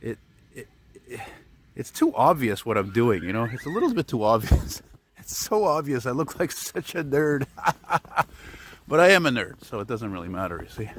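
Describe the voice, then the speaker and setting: An older man rambles through a walking vlog in a deep baritone. He talks outdoors on snowy streets into a phone on a selfie stick. A faint hum of street noise sits behind him. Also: very animated, moderate pace, fairly bright tone. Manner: chatty and meandering, with mild swearing and self-deprecating asides. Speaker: vlogger.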